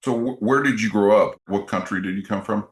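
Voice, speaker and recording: Low-voiced male host in an echoey room. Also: brisk. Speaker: radio show host. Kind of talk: interview hosting. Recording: roomy echo.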